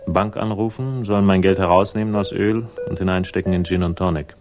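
A man's voice: sits low, fairly even intonation, a little fast, lightly acted. An actor playing a laconic, ironic investigator who narrates his case in a 1960s German crime radio drama. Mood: dry, wry. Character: wry, hard-boiled male investigator narrating his case. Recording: music behind the voice.

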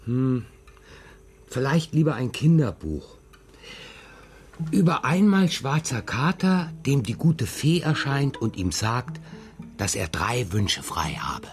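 A man's voice: mid-range voice, sing-song, slow, pauses often, faint music behind. An actor playing a whimsical, sleepy middle-aged father who banters hesitantly in a children's radio play. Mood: wry, musing.

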